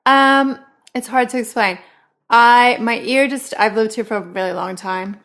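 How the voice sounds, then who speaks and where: A woman in her forties from Western Australia, her Australian accent softened toward American, co-hosting a podcast in a chatty, rambling way. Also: fairly high pitch, measured pace. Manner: chatty storyteller, many likes and restarts. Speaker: podcast co-host. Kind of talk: casual chat.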